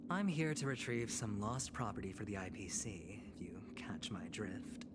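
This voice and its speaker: An actor playing a smooth, scheming young male corporate negotiator in a fantasy video game, with a sly and persuasive manner. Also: fairly low pitch, expressive, moderate pace, background noise, close to an everyday voice. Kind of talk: theatrical video game voice acting. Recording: game music playing behind.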